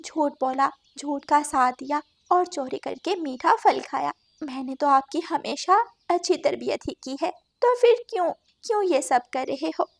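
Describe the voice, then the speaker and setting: Woman voicing an earnest, high-voiced cartoon mother sparrow. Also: lively intonation, a little slow, gravelly, hardly any pauses, exaggerated voice. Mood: scolding. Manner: earnest, admonishing.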